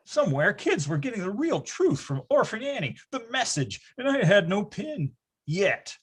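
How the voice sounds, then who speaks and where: A man's voice: sits high, sing-song, normal speed, slightly rough, heavily acted. A man playing a grown-up storyteller who wryly recalls his boyhood in a mock-grand, theatrical manner for a readers theatre. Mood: ominous.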